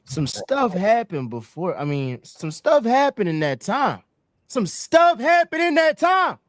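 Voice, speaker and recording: A man with a very high voice hosts a casual sports show, hyped enough to break into a shouted chant. He talks slowly and stretches his words out. The sound is dry and close, with no room around it. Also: sing-song, no room sound. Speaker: podcast host.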